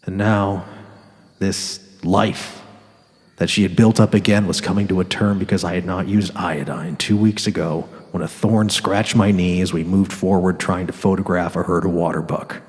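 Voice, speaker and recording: An actor giving a measured dramatic reading as an ailing, world-weary, cynical writer on safari. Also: male voice, deep voice, fairly even intonation. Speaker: actor narrating in character. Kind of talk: dramatic first-person literary narration. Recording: long reverb on the voice.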